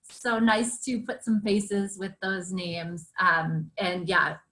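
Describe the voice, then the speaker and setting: A woman's voice: on the low side, flat delivery, takes it slowly, pushed and bright, rough recording. A woman from the program staff introducing herself on a video call, upbeat and chatty.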